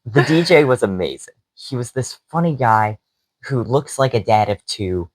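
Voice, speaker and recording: A young man tells a story on a podcast in an animated, chatty way. His pitch swings widely as he gets into it. The sound is dry and close. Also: normal speed, no room sound, low-quality recording. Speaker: podcast co-host. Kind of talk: casual chat.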